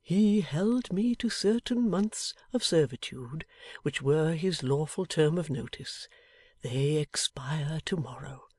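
A woman voicing a gentle, dignified, weary old man in an audiobook, with a courteous, deliberate manner. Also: fairly low pitch, slightly rough, dry recording, voice pushed up, exaggerated voice. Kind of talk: dramatised audiobook character reading.

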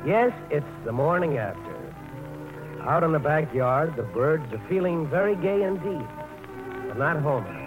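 A man's voice: on the high side, animated, measured pace. Tinny vintage radio narrator, male, over music. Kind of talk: scripted 1940s radio drama narration.

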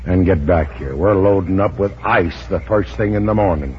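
An actor playing a gruff, blustering but soft-hearted fishing boat skipper, with rough, folksy speech in 1940s radio style. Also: male voice, low voice, moderate pace, lightly acted. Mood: gruff, grumbling. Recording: muffled, hissy 1940s radio recording.

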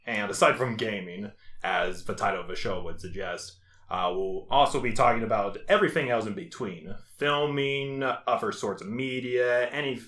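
A man's voice: lowish voice, normal speed, fairly bright tone, reverberant. A young American man in his twenties, introducing himself with laid-back rambling as a podcast co-host. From United States. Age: 20s. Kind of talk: casual chat.

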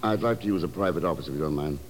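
Calm clipped secret agent, deep low voice, faintly noisy old radio drama. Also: male voice, racing. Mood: composed, businesslike. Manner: terse, composed, businesslike. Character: cool, clipped male government agent, investigating calmly. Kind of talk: 1950s radio drama acting.

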